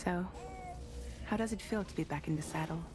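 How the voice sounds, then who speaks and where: A caring friend played by an actress in a naturalistic game cutscene. Her voice is a little low, and she speaks gently and casually, offering reassurance. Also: a little fast, over music, close to an everyday voice. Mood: light, chatty. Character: caring, reassuring female friend minding a baby.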